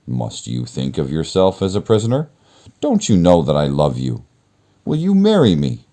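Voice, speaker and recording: A man's voice: extremely low pitch, wildly swooping pitch, moderate pace, mellow, exaggerated voice. A man voicing a monstrous fairy-tale beast, menacing yet lovelorn, with grand, emphatic delivery. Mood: pleading.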